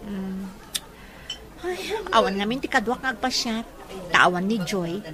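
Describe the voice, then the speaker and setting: A woman's voice: medium pitch, big pitch swings, a little slow, crowd noise. A woman acting a young, evasive girlfriend in a radio drama, with an Ilocano accent. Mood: sociable. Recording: music bed behind the voice.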